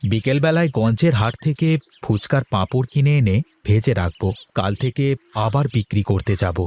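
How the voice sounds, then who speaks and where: A man's voice: fairly low pitch, lively intonation, brisk, darkish tone, natural voice. A man playing an easygoing, chatty village street-food seller in a children's cartoon, with a folksy, conversational manner. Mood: earnest, placating. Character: village fuchka-selling husband, easygoing, chatty, hopeful. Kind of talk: Bengali children's cartoon dubbing.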